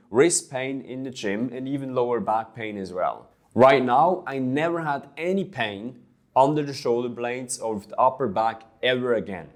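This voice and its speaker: Casting: young man from Switzerland, fitness coach, earnest first-person vlog storytelling, mid-range voice. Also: mid-range voice. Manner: earnest, storytelling with small restarts.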